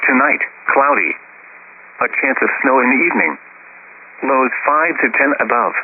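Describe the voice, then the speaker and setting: A synthetic male text-to-speech voice reads a scripted weather bulletin as an automated radio announcer, in an impersonal, measured recitation. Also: medium pitch. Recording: hissy, phone-like weather radio broadcast.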